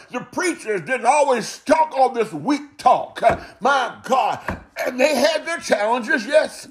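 A man delivering a fervent sermon as a preacher, exclaiming and repeating phrases emphatically. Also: very high voice. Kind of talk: fervent Pentecostal-style sermon.

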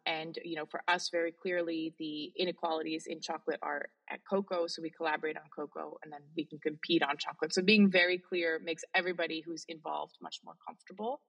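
A woman's voice: on the low side, slightly rough. A Belgian woman in her forties whose first language is Flemish, speaking with an American accent as an interview guest, earnest and emphatic with frequent fillers. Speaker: guest, sustainability leader in the chocolate industry. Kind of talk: interview conversation.

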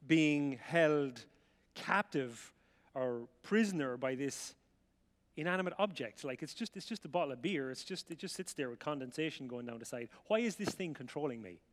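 Storytelling Irish man in a hall. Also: fairly high pitch. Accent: Irish, rural midlands. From Ireland. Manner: chatty, self-mocking, acts out dialogue. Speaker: speaker sharing his life story. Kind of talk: storytelling talk to a live audience. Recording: reverberant hall sound.

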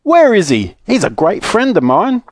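An excitable, muddled old man from a children's storybook, acted out by a male reader. The voice is pitched high and swings widely as he exclaims and gets easily flustered. It is recorded close and dry. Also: unhurried, dry recording. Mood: eager. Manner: exclaiming, mishearing, easily vexed.

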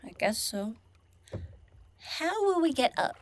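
A woman's voice: high voice, very animated, slow pace, exaggerated voice. A woman playing a curious, uneasy young human traveller in a fantasy audio drama, speaking in an informal, chatty way. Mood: uncertain.

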